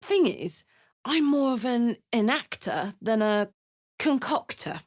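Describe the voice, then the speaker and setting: A woman's voice: fairly high pitch, big pitch swings, slow pace, a little mellow, no room sound, studio quality, full character voice. A woman voicing a brash, hot-blooded, big-hearted warrior woman in a video game, with an earthy, blunt, sweary and emotionally open manner. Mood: tender, earnest. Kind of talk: video game character voice lines.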